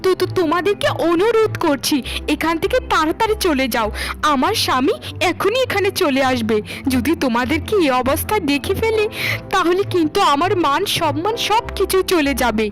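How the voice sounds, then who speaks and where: A woman's voice: very high-pitched, expressive, normal speed, soft background music. A woman voicing a haughty married daughter in a cartoon melodrama, urgent and dismissive. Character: haughty married daughter spurning her beggar parents.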